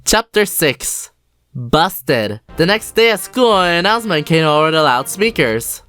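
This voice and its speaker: Brief: woman narrator, animated storybook reading, wide pitch range. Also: unhurried, clear, resonant tone, dry recording, faint music behind. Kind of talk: storybook narration for a fan dub.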